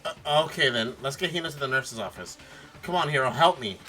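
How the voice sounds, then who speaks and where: A man streaming a game playthrough, switching between casual chat and expressive dramatic reading. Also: mid-range voice, a little fast, music in the background. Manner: animated, expressive.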